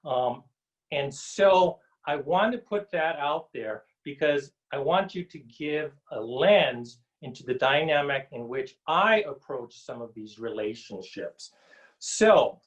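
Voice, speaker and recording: A middle-aged man from Samoa giving a slow, deliberate webinar talk from home, with many pauses and ums. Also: a little high. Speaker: guest speaker. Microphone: video call. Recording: dull lo-fi video call audio.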